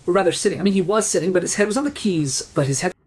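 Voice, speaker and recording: A man's voice: high-pitched, rapid-fire, a little mellow, husky. A man playing a flustered female housekeeper in a crime radio play, rambling and correcting herself. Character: flustered, chattering female housekeeper who found the body.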